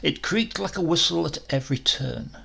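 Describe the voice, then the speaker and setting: A man reading a literary story aloud in an expressive, measured, dramatic storytelling manner. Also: fairly high pitch, creaky, husky. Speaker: story reader.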